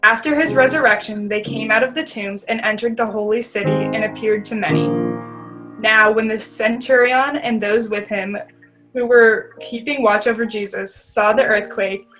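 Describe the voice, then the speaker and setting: A woman's voice: on the high side, steady tone, normal speed, low-quality recording. A woman reading scripture as the narrator of a readers theater piece. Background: faint guitar music.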